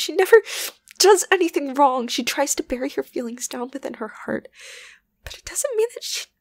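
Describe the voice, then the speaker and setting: A woman voicing an obsessive, lovesick young yandere best friend in an ASMR roleplay, halting and intimate, often slipping into a whisper. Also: high-pitched, fairly bright tone, dry recording, full character voice. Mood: agitated, jealous. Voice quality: breathy, often dropping to a whisper. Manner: halting, intimate, wavering between tender and agitated. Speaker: voice actor.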